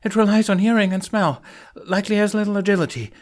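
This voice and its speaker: A man's voice: sits high, quick pace, voice pushed up, lightly acted. A man voicing a nervous, brainy young male Viking with a cracking voice, stuttering out clipped facts in a fantasy audiobook. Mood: nervous, frightened.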